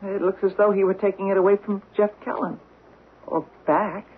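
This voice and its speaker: An actress playing an insistent, excitable young bride in an old-time radio drama, speaking emphatically and dramatically. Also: female voice, medium pitch, moderate pace, breathy edge, phone-quality sound, lightly acted. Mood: anxious, questioning. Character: insistent, excitable young American bride who witnessed a murder. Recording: thin old radio recording, faint noise.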